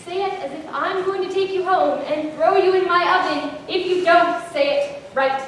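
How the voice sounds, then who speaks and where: A woman's voice: very high-pitched, normal speed. A teenage female performer playing a menacing, fussy, pedantic schoolteacher in a comic readers theater piece, emphatic and theatrical. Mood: overbearing. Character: menacing, fussy second-grade teacher, overbearing and pedantic. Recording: echoey room, distant mic, faint noise.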